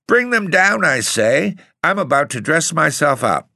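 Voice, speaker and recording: A man voicing a sharp-tongued, bedridden old aunt who speaks with open contempt. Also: a little high, big pitch swings, unhurried, gravelly, no room sound, studio quality, pitched above the performer's own voice, heavily acted. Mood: scornful. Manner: contemptuous, commanding.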